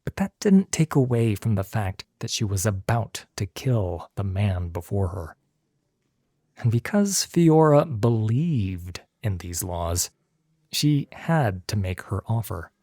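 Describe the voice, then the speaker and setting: A man reads a dramatic scripted story as narrator. His voice is dark and slow, with wide swings of pitch and deliberate phrasing. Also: big pitch swings, no echo, clean studio sound.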